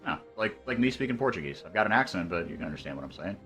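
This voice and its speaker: A man calling esports play-by-play, excitable and rising sharply when fights break out. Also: low voice, racing, faint music behind.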